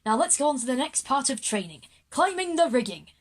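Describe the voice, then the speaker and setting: Woman doing a showboating pirate general guy for a kids audio drama, full of himself, bossy, kinda high voice. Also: big pitch swings, a little fast. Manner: pompous, theatrical, piratical turns of phrase. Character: egotistical boastful male pirate general, bossy showman. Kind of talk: kids' audio drama acting.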